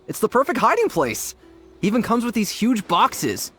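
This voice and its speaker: Jumpy, whiny young gang underling. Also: male voice, sits high, wildly swooping pitch, normal speed, bright, forceful tone, faint music behind, heavily acted. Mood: nervous relief. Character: cowardly, scatterbrained young male gang underling. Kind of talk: animated video game voice acting.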